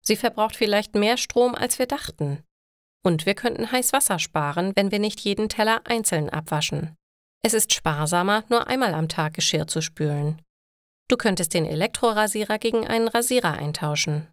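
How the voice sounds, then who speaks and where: A woman's voice: medium pitch, lively intonation, normal speed, no room sound, clean studio sound, close to an everyday voice. A woman voicing a practical, thrifty woman in an audio story, earnest and fretting over household matters. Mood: reasoning.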